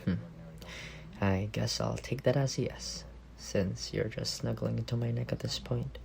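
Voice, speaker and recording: A man playing an affectionate, teasing young roommate secretly in love, in an intimate ASMR roleplay with a soft, creaky voice. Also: medium pitch, fairly even intonation, measured pace. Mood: fond, soothing. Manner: playful teasing murmur with soft chuckles.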